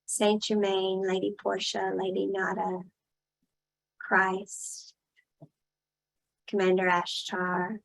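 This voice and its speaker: A woman leading a guided meditation in a soothing style, speaking in short, unhurried phrases with long pauses. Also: mid-range voice, monotone, creaky, low-quality recording.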